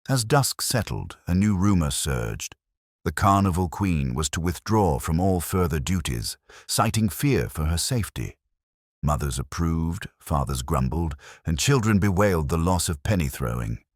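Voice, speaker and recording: Very deep-voiced male storyteller. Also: dry recording, studio quality. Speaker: audiobook narrator. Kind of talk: scripted storytelling narration of a mystery.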